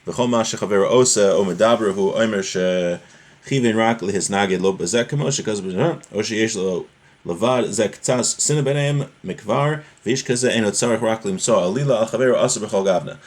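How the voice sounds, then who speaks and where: Low-voiced man teaching a religion class. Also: a little fast. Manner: informal, conversational, explains with everyday examples. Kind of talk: explanatory religious lesson, reading source text then translating and explaining.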